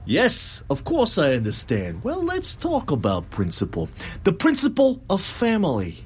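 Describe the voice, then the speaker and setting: A man's voice: high voice, wildly swooping pitch, measured pace, slight background noise, voice pushed up, heavily acted. A man playing a persuasive army captain who bargains and coaxes, in a one-man stage play. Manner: coaxing, bargaining, deferential on the phone.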